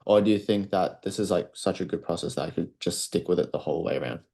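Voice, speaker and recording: A man's voice: sits low, fast talker, vocal fry, mellow. A man speaking informally as a coaching client, asking questions in a tentative, agreeable way. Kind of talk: casual question on a coaching call.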